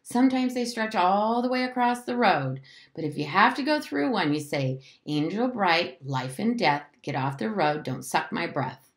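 A woman's voice: mid-range voice, animated, normal speed, echoey room, lightly acted. A woman voicing a bossy, boastful young boy, an older brother, scornful and commanding in an audiobook story. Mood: knowing.

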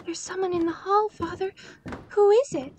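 A woman's voice: very high voice, steady tone, moderate pace, darkish tone, slight background noise. A woman voicing a small, curious, obedient little girl in a radio drama, innocent and full of questions. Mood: anxious, curious.

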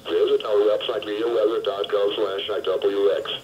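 Synthetic male announcer, lowish pitch, formal script, dull small radio speaker. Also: fairly even intonation, normal speed, slight background noise. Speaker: weather radio station announcer. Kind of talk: scripted station identification. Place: played through a small weather radio speaker.

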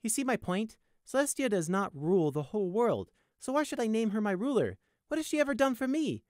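A small, mouthy young pony prisoner acted out by a man in an audiobook tale. The voice leaps high and swoops about, flippant and needling, with a theatrical, mocking edge. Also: big pitch swings, moderate pace, a little mellow, no room sound, studio quality. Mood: challenging. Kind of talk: audiobook character acting.

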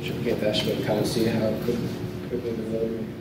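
A man's voice: fairly low pitch, monotone, fairly quick. Man speaking in a very echoey hall. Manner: loose, conversational, restarts often. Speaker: conference speaker. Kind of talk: casual technical talk with live demo. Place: hotel conference room, in front of a projector screen. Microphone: lectern mic, recorded from a camera at the back of the room. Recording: very echoey room, voice from far off, clear noise.

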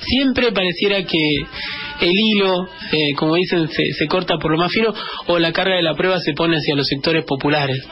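A man's voice: high voice, moderate pace. A man with a Greater Buenos Aires Argentine accent, hosting a radio show with a reflective spoken editorial, repeating phrases for emphasis. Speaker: radio host. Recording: dull band-limited radio sound, music behind.

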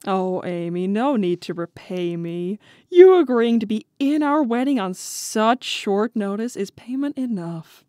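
A woman's voice: medium pitch, expressive, measured pace, warm tone, no room sound, studio quality, close to an everyday voice. A woman voicing a gracious cartoon bride-to-be in a comic dub. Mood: grateful, gentle.

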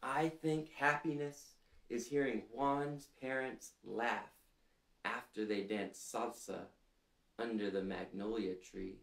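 A man in his thirties reading a picture book aloud to young children in a gentle, deliberate way, putting simple questions to them. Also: medium pitch, fairly even intonation, vocal fry. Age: 30s. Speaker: storytime reader for children. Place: small indoor living room, seated. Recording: roomy echo.

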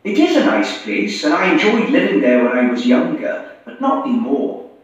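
A man's voice: high-pitched, huge pitch swings, moderate pace, full character voice. A man playing a restless small-town Welsh youth in a scripted radio interview. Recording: muffled echoey playback through classroom speakers.

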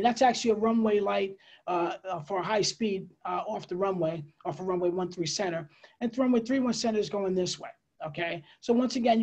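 A middle-aged man with an American accent explains slides as an air traffic controller, conversational and a bit halting, with pauses and asides. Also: sits high, darkish tone. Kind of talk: informal slide presentation, explanatory. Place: indoors, on a video call.

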